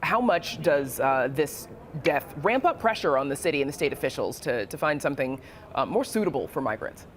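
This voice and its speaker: American female news anchor, deep register, highly varied pitch, studio questions and scripted intros. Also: animated, brisk. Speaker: news program host.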